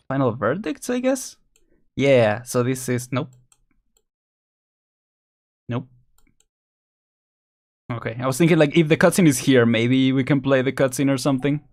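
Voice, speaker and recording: A man chatting casually and rambling as he thinks out loud on a live game stream. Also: medium pitch.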